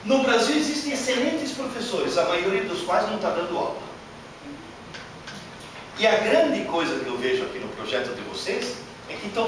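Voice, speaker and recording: Guy giving a lively talk, kinda high voice, noisy roomy recording. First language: not Portuguese. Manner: animated, emphatic, rhetorical questions. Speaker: lecturer. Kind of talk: workshop lecture. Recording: echoey hall from far off, noisy.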